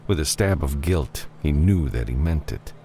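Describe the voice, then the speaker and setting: Male audiobook narrator, very low pitch, lively intonation, dramatic scripted storytelling, faint background noise. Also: normal speed.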